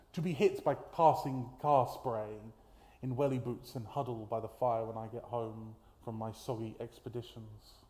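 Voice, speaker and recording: A man's voice: medium pitch, darkish tone. British man reciting poetry in a roomy space. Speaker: poet performing his own work. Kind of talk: live spoken-word poetry recital. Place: live at a festival event in a room. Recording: roomy, echoey live event, background noise.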